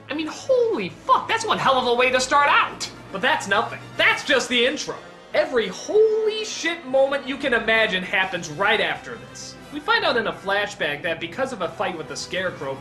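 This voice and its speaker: A man narrating a scripted comedic review in an emphatic, exclamatory way. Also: very high voice. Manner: animated, emphatic, exclamatory, profane. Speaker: video reviewer and countdown host.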